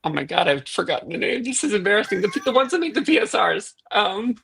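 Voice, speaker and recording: A woman guest in a casual interview, rambling with quick self-deprecating asides. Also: medium pitch, very animated, gravelly, a bit bright, slightly rough. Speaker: guest, programming language developer.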